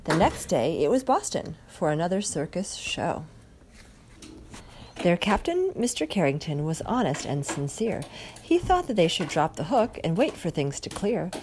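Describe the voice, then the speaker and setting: A woman reading a rhyming picture book aloud in an expressive, dramatic way. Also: fairly low pitch, normal speed, slight background noise. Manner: dramatic, animated delivery. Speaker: storybook reader.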